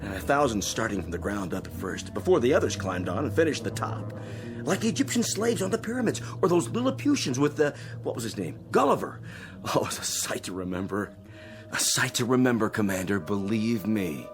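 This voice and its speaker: Over a clear music bed, a smug male space crewman who fancies himself a god. His delivery swings widely in pitch, theatrical and mocking, full of cynical self-importance in radio drama style. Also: big pitch swings, fairly quick. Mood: smug, gloating. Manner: gloating, grandiose, mockingly theatrical.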